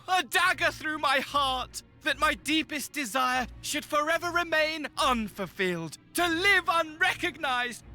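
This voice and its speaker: Game villain, theatrical and mocking, high swooping voice, slow relishing delivery. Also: male voice, sharp tone, heavily acted. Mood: mock lament. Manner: grandiose, sing-song and mocking, bursts of manic laughter. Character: flamboyant, gleeful, mocking male villain servant. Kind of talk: theatrical game cutscene acting.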